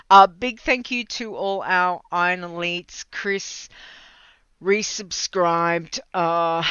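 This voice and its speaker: An Australian host talks unhurriedly in a bright, smooth voice, drifting off on tangents and laughing between words. The sound is dry and a little dull, like a modest home mic. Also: medium pitch, no echo. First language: English. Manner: rambling, laughs often, stumbles over words. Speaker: livestream host. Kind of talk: casual chat with viewers.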